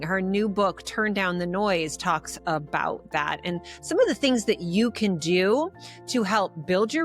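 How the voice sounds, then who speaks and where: A woman hosting a podcast, talking with upbeat enthusiasm as she introduces her guest and chats with her. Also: lowish voice. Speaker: podcast host.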